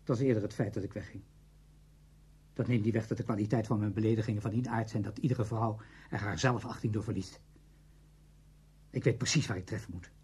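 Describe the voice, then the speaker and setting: A cruel, brooding man in a radio drama. His voice is very husky and dark, on the low side, and he speaks deliberately, as if guarding a secret. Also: fairly even intonation. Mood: coldly boastful. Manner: deliberate, halting when confessing. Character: cruel, self-absorbed male conductor with a secret, confiding. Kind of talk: Dutch radio play acting.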